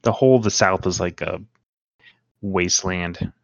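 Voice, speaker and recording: A man's voice: low-pitched, normal speed, gravelly, no echo. A man, the author of the story, chatting in a laid-back way and making dry remarks. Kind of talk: casual chat. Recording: dull, muffled sound.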